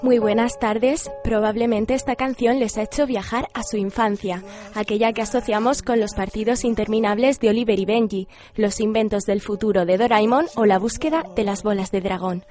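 A woman's voice: mid-range voice, normal speed, a little mellow, soft background music. A woman presenting a radio programme with a scripted introduction.

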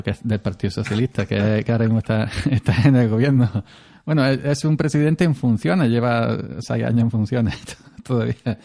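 A man's voice: medium pitch, vocal fry, mellow. A man from Andalusia with an Andalusian Spanish accent, chatting casually as a podcast co-host, rambling and chuckling often. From Andalusia, Spain. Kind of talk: casual chat.

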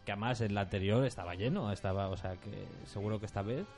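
A man hosting a radio interview, encouraging the guests and quick to agree. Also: lowish voice, darkish tone, faint music behind. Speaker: radio host.

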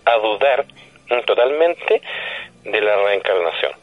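A man's voice: on the low side, expressive, unhurried. A man with a Chilean accent speaking as a radio guest, explaining things didactically in conversation, with rhetorical questions and checks that he is understood. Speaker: radio guest, paranormal commentator. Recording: dull lo-fi telephone-like radio line.

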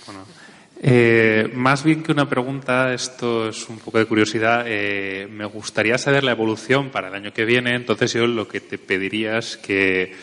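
A man in the audience speaks up at a conference in an informal, friendly way. His voice is low but bright, and it echoes through the hall. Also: steady tone, normal speed. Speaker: audience member. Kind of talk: question from the audience.

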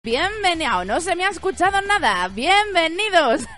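Hoarse, giggly Spanish woman host. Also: very high-pitched, big pitch swings, moderate pace, a bit bright. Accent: Spanish from Spain. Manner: playful, giggly, chatty. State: hoarse from pharyngitis. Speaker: podcast host. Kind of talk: casual radio chat.

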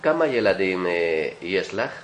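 A man giving a language lesson as the teacher, pronouncing short phrases deliberately with hesitant pauses. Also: low voice, animated, echoey room, a little hiss or hum.

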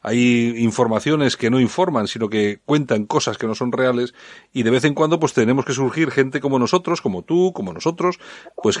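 A man's voice: mid-range voice, no room sound, studio quality. A man in his fifties from Bilbao hosting a talk radio discussion, rambling and correcting himself as he goes. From Bilbao, Spain. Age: 50s. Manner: rambling, self-correcting, laughs easily. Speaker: host.

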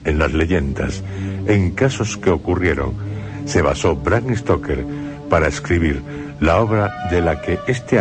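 A middle-aged man introduces a horror tale on radio with grave, ominous narration. His voice sits extremely low and he takes his time with each phrase. Music plays clearly beneath him. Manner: slow, grave, ominous storytelling. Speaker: radio drama host. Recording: music under the voice.

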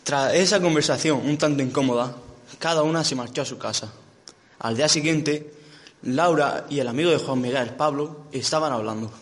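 A man's voice: mid-range voice, moderate pace. A young man reading scripted narration for an amateur radio soap. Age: teenager. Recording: reverberant, slightly noisy amateur recording.

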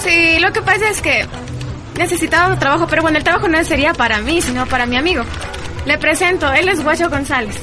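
A woman plays an eager, polite young friend in a radio serial. She talks quickly in a high voice with an Ecuadorian accent. A clear music bed sits underneath. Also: natural voice. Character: polite, helpful, chirpy young woman, Karina. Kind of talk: naturalistic radio drama dialogue.

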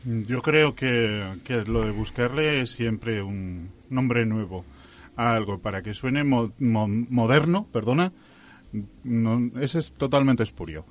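A man in an unscripted radio discussion, arguing his view in a halting way and stretching out some words. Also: medium pitch. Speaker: radio programme participant.

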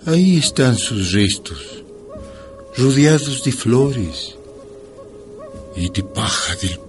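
Radio drama role: bereaved son, slow and solemn, with expressive swings in pitch. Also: male voice, music in the background, heavily acted. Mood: mournful. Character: grieving grown son recalling his mother's death.

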